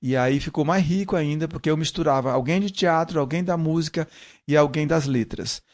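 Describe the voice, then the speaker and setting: A middle-aged man with a Northeastern Brazilian accent softened by São Paulo, chatting as an interview guest and repeating words as he searches for the next one. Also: fairly high pitch, fairly quick. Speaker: guest, musician. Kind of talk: casual interview conversation.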